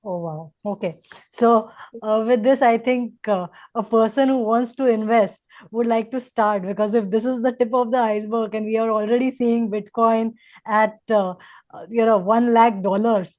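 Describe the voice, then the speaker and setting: A woman with an Indian accent and a fairly high voice acts as interviewer. She talks conversationally, setting up points and putting questions to her guests. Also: steady tone, moderate pace, darkish tone.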